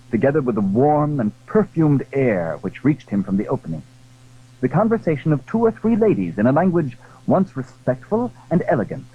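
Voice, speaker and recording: A man narrating a classic adventure story in a dramatic, scripted style, with a husky voice. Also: medium pitch, phone-quality sound.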